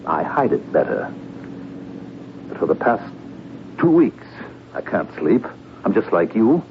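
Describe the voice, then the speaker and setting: An actor playing a calm foreign secret agent who speaks formally and measuredly, uneasy underneath, in a 1970s radio drama. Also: male voice, low voice, full character voice. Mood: uneasy, earnest. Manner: measured, enigmatic, formal phrasing. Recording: muffled phone-like old radio recording, hiss.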